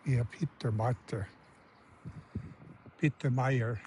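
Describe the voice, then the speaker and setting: Male travel vlogger, relaxed warm tone, casual walk-and-talk outdoors with quiet whispered remarks. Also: mid-range voice, slow pace, slight background noise. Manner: chatty, muttering asides, sometimes whispering. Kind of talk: casual walking vlog commentary. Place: outdoors in a village street and on a café terrace.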